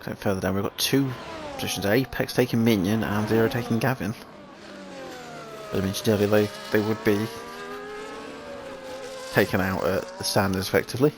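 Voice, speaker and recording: Man race commentator, low gravelly voice, croaky, halting restarts, noisy mic with engine sounds. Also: fairly even intonation. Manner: halting, thinking aloud with restarts. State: croaky after sneezing. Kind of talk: sim racing commentary.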